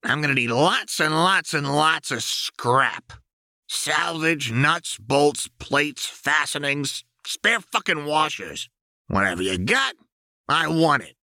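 A man's voice: fairly high pitch, lively intonation, slow pace, vocal fry, bright, forceful tone, no room sound, clean studio sound, pitched above the performer's own voice, heavily acted. A man voicing a manic, gleefully violent young woman crime baron in an audiobook, with a brash, taunting delivery. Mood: excited.

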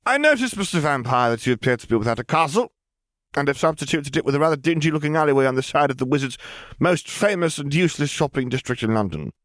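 A man's voice: on the high side, lively intonation, fast, a bit bright, no echo, voice pushed up. A man voicing a brash, precocious small girl in a storybook reading, talking in a wordy, dramatic, childish yet adult way. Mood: confident, dramatic.

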